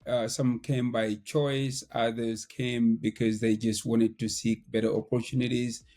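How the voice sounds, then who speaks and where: Mellow-voiced male podcast host. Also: medium pitch, flat delivery, a little slow. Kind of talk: introducing a guest, then asking questions.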